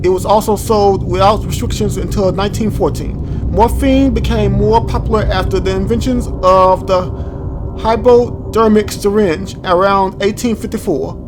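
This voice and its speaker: A man hosting a gaming video, thinking aloud in an informal, halting way as he comments and reads from the screen. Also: high voice, steady tone, over music. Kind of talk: casual let's play commentary, reading on-screen text aloud.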